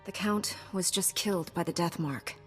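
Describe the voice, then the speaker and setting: A woman's voice: fairly low pitch, moderate pace, soft background music, natural voice. Woman as an earnest young Jedi knight. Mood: earnest, resolute. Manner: earnest, direct.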